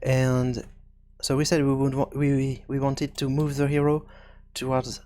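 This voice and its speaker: A man hosting a programming tutorial, thinking aloud in a halting, hesitant way as he works through each step. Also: medium pitch, flat delivery, mellow. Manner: hesitant, halting, working things out as he speaks. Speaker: video game programming tutorial host.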